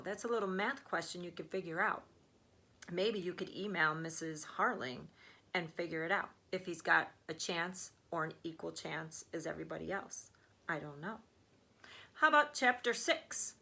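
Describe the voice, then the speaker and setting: A woman reads a storybook aloud in a bright, animated voice. She is expressive and emphatic, with the engaging manner of a classroom teacher who checks in with her listeners. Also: medium pitch. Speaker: teacher reading aloud to pupils. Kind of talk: animated storybook read-aloud with chatty asides.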